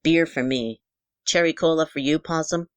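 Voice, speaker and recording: A woman's voice: deep voice, normal speed, no echo, close to an everyday voice. A woman voicing a middle-aged father in an audio story, guarded and wounded, earnest and apologetic. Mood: subdued. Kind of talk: dramatic character dialogue in a narrated audio story.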